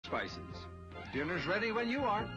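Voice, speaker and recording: A man reading a scripted restaurant commercial, in a dull recording over loud music. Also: sits high, wildly swooping pitch, a little slow. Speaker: commercial announcer.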